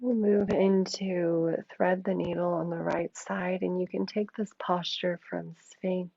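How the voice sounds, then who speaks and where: A woman with a low, mellow, deeply gravelly voice guides a yin yoga class at an unhurried crawl. She sounds hoarse and a little froggy, her cues soft and drawn out. The recording is dull and lo-fi. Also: little pitch movement, no echo. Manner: slow, soothing, drawn-out cues. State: hoarse from an allergy attack.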